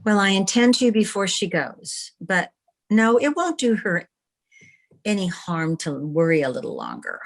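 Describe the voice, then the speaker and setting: Lively, composed woman publisher, played by an actress. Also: mid-range voice, a little slow. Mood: breezy, composed. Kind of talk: old-time radio comedy acting. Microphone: video call.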